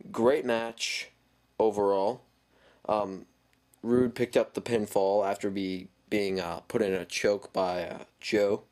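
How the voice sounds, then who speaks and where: A man's voice: fairly low pitch, darkish tone. Stuffy-nosed man rambling a wrestling review. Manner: rambling, with frequent ums and self-corrections. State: stuffy from a cold. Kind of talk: casual spoken review.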